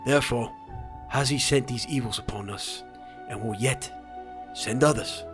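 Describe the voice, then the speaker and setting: A solemn seer played by a man in an epic audiobook drama. He boldly declaims grave divine pronouncements in a medium-pitched voice, with music underneath. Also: moderate pace, lightly acted. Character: solemn, bold male seer speaking for a god.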